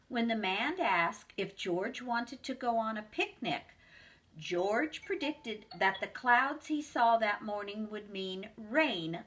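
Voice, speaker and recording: A woman, a preschool teacher, reading a picture book aloud to children in a slow, expressive storytelling voice. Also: medium pitch.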